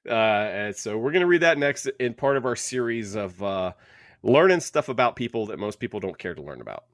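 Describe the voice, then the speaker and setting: Low-voiced man chatting, close and dry. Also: very animated, dry recording. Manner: rambling, halting, thinking aloud. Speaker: podcast host. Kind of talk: casual book club podcast chat.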